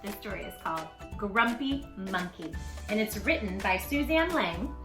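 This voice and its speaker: A woman reading a story aloud to young children in an animated, affectionate, coaxing manner, speaking straight to the listeners. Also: medium pitch, moderate pace, reverberant, over music. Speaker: children's story reader, tutor.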